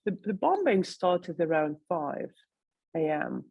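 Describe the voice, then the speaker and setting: A woman from Ukraine with a Russian accent, a guest in a conversational interview, thoughtful and pausing to search for words. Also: on the low side, sing-song, warm tone, breathy edge, dry recording, muffled recording.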